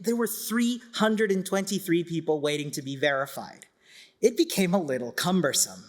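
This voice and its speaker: Male conference speaker, middle-aged, from Chile, pitch a bit above average; informal, enthusiastic, self-effacing delivery. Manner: enthusiastic, self-deprecating, conversational asides. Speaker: conference speaker, community organiser.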